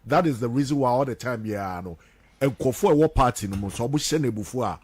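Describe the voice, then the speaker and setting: A man's voice: mid-range voice, expressive, fast, darkish tone, slightly rough. A Ghanaian man hosting a radio talk show, speaking conversationally and switching between two languages.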